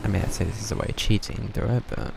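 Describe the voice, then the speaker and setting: Low, creaky-voiced male game streamer. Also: steady tone, racing, noisy background. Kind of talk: casual live gameplay commentary. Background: game music.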